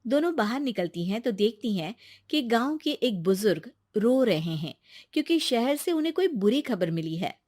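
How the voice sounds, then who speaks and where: A woman's voice: a little high, big pitch swings, moderate pace, a little mellow, no room sound, studio quality. A woman narrating a scripted story as its storyteller.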